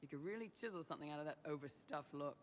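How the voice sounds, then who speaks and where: A man's voice: fairly high pitch, racing, long reverb tail, slight background noise, close to an everyday voice. An actor playing a young male mercenary lieutenant in a video game, teasing with dry, sardonic wit. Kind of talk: video game character dialogue.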